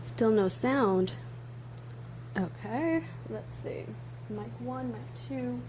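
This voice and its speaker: A woman's voice: fairly high pitch, lively intonation, extremely slow. Woman running a home livestream, laughs easy, faint hum in the background. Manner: chatty, laughs easily, thinks aloud. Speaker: livestream host. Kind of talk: casual livestream chat.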